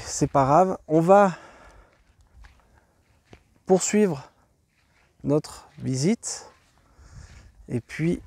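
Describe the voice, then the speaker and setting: A man's voice: fairly high pitch, creaky, mellow. Congested man rambling through a site tour. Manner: rambling, hesitant, many fillers and restarts. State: congested from a cold. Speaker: travel vlog host. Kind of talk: walking tour commentary. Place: outdoors at an archaeological site.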